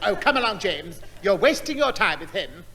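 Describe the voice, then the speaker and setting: A man playing a timid, fussy, well-meaning gentleman who hesitates and stammers, in a 1960s radio comedy. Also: sits high, sing-song, normal speed, soft background music, full character voice. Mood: flustered. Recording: hissy old radio broadcast.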